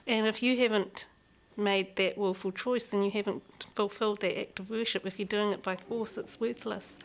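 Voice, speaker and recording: A woman with a New Zealand accent talks frankly as an interview guest. She sounds candid and reflective, and she laughs easily. Her voice sits at a middle pitch for a woman. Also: steady tone, moderate pace, a little hiss or hum. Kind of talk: frank personal conversation.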